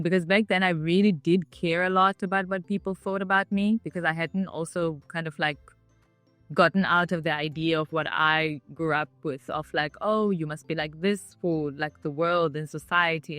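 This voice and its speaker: A woman's voice: fairly low pitch, fairly bright tone, no room sound. Chatty woman in her thirties. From South Africa. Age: 30s. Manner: chatty, with many "like" fillers. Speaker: interviewee. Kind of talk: casual personal storytelling.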